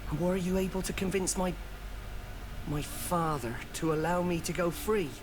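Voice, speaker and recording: Guy doing young sailor captive in a game, bit high, frank n resigned, noisy ambience. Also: fairly even intonation, normal speed, natural voice. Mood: wary. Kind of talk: video game voice acting. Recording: clear background ambience noise.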